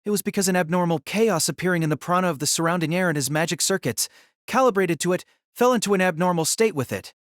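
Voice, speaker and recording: A man's voice: sits high, fast, no echo, clean studio sound. A man reading dramatic scripted story narration for an audio drama.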